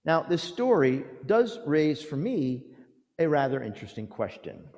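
A man preaching a storytelling sermon with a theatrical, emphatic delivery, using dramatic pauses and moving between loud proclamation and hushed lines. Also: a little high, big pitch swings. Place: large reverberant church or hall. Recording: echoey hall with long reverb.

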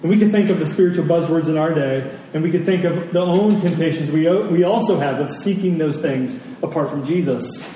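Male preacher, higher-pitched, mellow voice, emphatic repetition; sermon delivered in a big echoing hall. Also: fairly quick, hardly any pauses. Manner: emphatic, repeats phrases for effect. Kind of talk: sermon to a congregation. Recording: very echoey hall, distant mic, faint noise.

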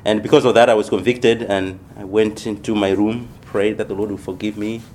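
A man from Malawi, a missionary church planter, answering interview questions in a thoughtful, unhurried way with many pauses. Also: lowish voice, flat delivery, a little mellow, slight background noise.

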